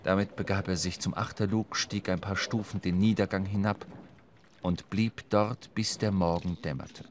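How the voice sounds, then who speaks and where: A man's voice: deep voice, steady tone, normal speed, a little hiss or hum. A man narrating a literary sea adventure for a radio drama, recorded close in a studio.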